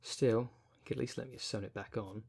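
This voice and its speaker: A man's voice: mid-range voice, steady tone, a little fast, gravelly, darkish tone, slightly rough. A man playing a wry, caring, foul-mouthed necromancer who coaches a zombie fighter in a casual, chatty ASMR audio roleplay. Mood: wry, reassuring. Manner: chatty, colloquial, wry, consoling.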